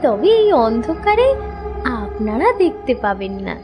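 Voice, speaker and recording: A woman playing an eerie, slow-speaking female ghost in a horror audio drama. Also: fairly high pitch, sing-song. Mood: eerie, taunting. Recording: loud music over the voice.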